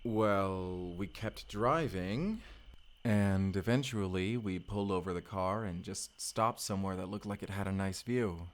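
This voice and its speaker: A man's voice: low voice, unhurried. A man playing a tender, boyish husband from the future in an intimate, bashful, rambling romantic audio roleplay. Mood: tender, nostalgic. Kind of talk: romantic audio roleplay acting, addressed to listener.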